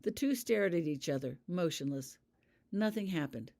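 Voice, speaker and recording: Female story narrator, low register, lively intonation, scripted audiobook read. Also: moderate pace, gravelly. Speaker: author reading their own fan fiction.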